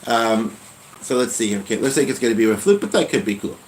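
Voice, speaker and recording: A man chatting casually at home between songs, rambling and trailing off in a soft voice. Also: medium pitch, animated, fast talker, slight background noise, rough recording. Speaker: host and singer. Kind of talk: casual chat during a music session. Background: loud guitar and piano music.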